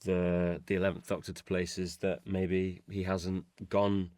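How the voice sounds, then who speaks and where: A man's voice: low-pitched, little pitch movement, unhurried, darkish tone, dry recording, studio quality. A man chatting casually and playfully as a voice actor being interviewed, telling stories a little hesitantly. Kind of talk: casual chat answering listeners' questions.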